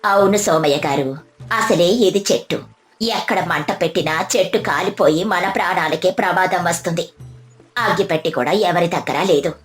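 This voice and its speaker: Woman voicing a low, gentle, pious village woman. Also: moderate pace, faint music behind, natural voice. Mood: resigned. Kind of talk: scripted moral-story cartoon dubbing.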